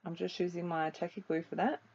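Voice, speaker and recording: A woman with a slightly croaky, rather low voice talks through a voice-over in a relaxed, chatty way, with plenty of ums. The recording is dull and lo-fi. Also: fairly quick. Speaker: crafting video creator. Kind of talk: casual voice-over narration of a craft process.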